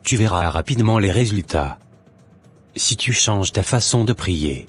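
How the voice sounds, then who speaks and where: A man voicing a deceitful, persuasive false pastor who serves a dark master in a cartoon. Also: fairly low pitch, lively intonation, measured pace, husky, faint music behind. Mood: falsely reassuring. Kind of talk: dramatic animated dialogue.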